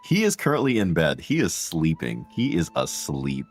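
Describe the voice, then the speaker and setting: A man with a deep voice streaming a game, chatting casually and rambling to viewers with slang. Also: wildly swooping pitch, moderate pace. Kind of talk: casual gameplay livestream chat. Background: game music.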